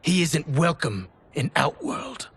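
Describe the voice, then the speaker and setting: An actor playing a menacing, gravelly male soldier in a video game, taunting and threatening. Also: on the high side, animated, slow pace, fairly bright tone, slight background noise, heavily acted. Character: menacing male soldier, loyal henchman of a usurper. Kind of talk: dramatic video game villain acting.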